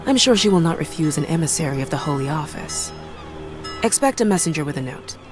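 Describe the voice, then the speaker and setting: An actress playing a countess's cold, haughty servant in a medieval video game, blunt and formal. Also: female voice, deep voice, expressive, brisk, over music. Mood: cool, haughty. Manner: aloof, formal.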